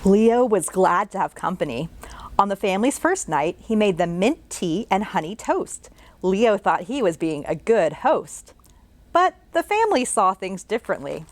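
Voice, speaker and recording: A woman's voice: medium pitch, big pitch swings, moderate pace, slight background noise. A children's librarian, a woman, reading a picture book aloud and asking young listeners questions along the way.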